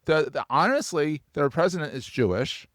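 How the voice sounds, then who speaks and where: A man's voice: a little high, lively intonation, normal speed, fairly bright tone, dry recording, clean studio sound. A man hosting a podcast interview, chatting casually and jumping in with playful, enthusiastic remarks. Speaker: podcast host.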